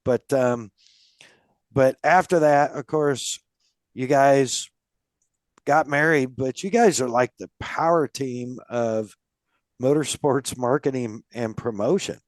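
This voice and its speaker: A man's voice: medium pitch, slow pace, no room sound. A man hosting a podcast, chatting casually with a guest and talking in a rambling way, with lots of fillers and restarts. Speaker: podcast host. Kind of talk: casual interview chat.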